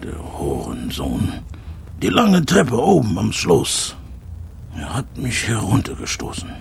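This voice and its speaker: Gravelly, broken old jazz musician. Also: male voice, lowish voice, wildly swooping pitch, takes it slowly, slight background noise, full character voice. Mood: anguished, bitter. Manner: rambling, brooding, repeats himself, erupts. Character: bitter, broken old jazz trumpeter, haunted and volatile. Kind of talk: German radio drama acting.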